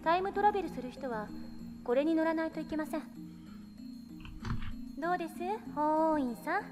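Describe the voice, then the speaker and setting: Very high, fast-talking young female scientist. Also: lively intonation, music in the background. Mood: teasing, challenging. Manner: brisk, clearly enunciated explaining, playfully challenging. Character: confident, teasing young female scientist giving a lecture. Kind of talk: Japanese visual novel voice acting, lecture delivery.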